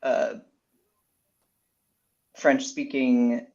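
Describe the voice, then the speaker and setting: A guest author from Western Canada, speaking at a mid pitch. They answer questions hesitantly, pausing on fillers and restarting sentences as they go. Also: flat delivery, darkish tone, muffled recording. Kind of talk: casual interview answers.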